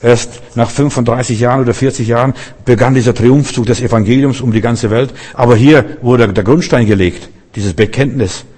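A man's voice: medium pitch, moderate pace, few pauses, darkish tone, husky. A man preaching a Christmas sermon in a church hall, fervent and direct, often repeating himself and questioning his listeners. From Berlin. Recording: long hall reverb.

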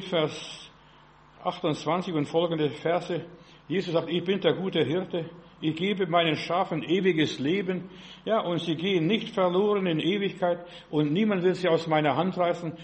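A man's voice: high-pitched, fairly even intonation, measured pace. A man in his late seventies from the former Soviet Union preaching a sermon in an exhorting, repetitive manner, as a with frequent short affirming tags.

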